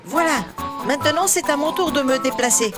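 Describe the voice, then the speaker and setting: Ethan, a high-pitched earnest little boy train. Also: animated, normal speed, over loud music. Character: Ethan, young male train, earnest, protective, excitable friend. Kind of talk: French children's cartoon dub.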